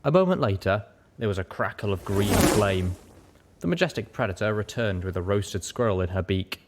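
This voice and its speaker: A man's voice: lowish voice, expressive, moderate pace, heavy background noise. A man narrating a first-person fantasy story as a dramatic audiobook reader.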